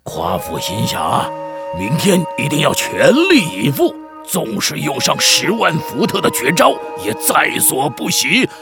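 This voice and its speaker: A man's voice: a little high, huge pitch swings, a little slow, gravelly, music in the background, exaggerated voice. A man voicing a theatrical middle-aged village chief, a long-winded, avuncular storyteller in a children's radio drama. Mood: dramatic narrating. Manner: theatrical, mock-solemn, avuncular.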